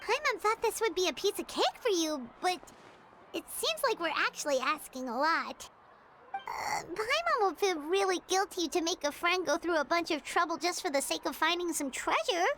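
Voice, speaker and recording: A woman voicing a small, excitable, childlike fairy girl companion in a video game, squeaky and earnest. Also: normal speed, slight background noise. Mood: guilty, hesitant. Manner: refers to self in third person, exclamatory.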